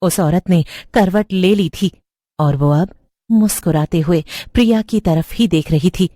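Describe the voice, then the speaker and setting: A woman's voice: on the low side, a little slow, dark tone, no room sound. Woman narrating horror stories, very dry sound. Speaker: story narrator. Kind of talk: scripted horror story narration.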